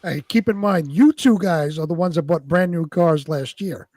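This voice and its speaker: Higher-pitched man in casual chat. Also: normal speed, a little mellow, dry recording, studio quality. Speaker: show co-host.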